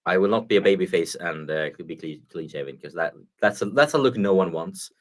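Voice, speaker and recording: Halting Swedish man, lowish voice. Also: animated, a little hiss or hum. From Sweden. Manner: halting, with frequent restarts. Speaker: guest, streamer. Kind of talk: casual live-stream chat.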